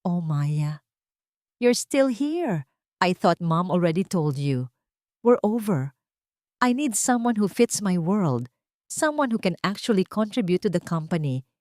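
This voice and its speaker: A woman's voice: fairly low pitch, very animated, measured pace, darkish tone, dry recording, studio quality. A woman voicing an arrogant, disdainful rich husband in an audio story, dismissive and contemptuous, with a Filipino accent. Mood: cold disdain.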